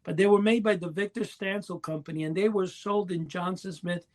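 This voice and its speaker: A man's voice: sits high, steady tone, a little fast, darkish tone. A middle-aged man chatting casually as a podcast co-host, explaining in a rambling way and correcting himself as he goes.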